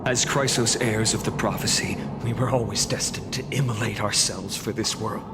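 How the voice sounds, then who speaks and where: An actor playing a resolute young hero in a game, earnest, solemn and deliberate. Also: male voice, fairly low pitch, steady tone, very noisy, natural voice. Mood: grave resolve. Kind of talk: dramatic video game acting.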